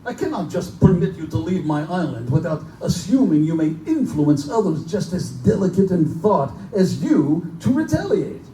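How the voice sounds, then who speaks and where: A man's voice: sits high, sing-song, normal speed, few pauses, mellow, faint music behind. A man playing a genteel, pompous general who hunts men for sport, in a live theatrical horror drama. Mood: irritated, then excited. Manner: urbane, pompous, condescending. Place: live performance in a bookstore. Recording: distant, echoey live room recording with noise.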